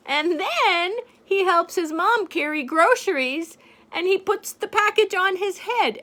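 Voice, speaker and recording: An older woman reads a picture book aloud to a little one, slow and unhurried. Her voice sits high and bright, gentle and playful as she points out what is on each page. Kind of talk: storybook read-aloud to a child.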